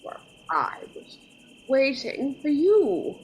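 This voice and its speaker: An actress playing a nervous, evasive, scheming married woman in 1940s radio horror style, stammering and halting as she talks. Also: high-pitched, huge pitch swings, a little hiss or hum, exaggerated voice. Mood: evasive, uneasy.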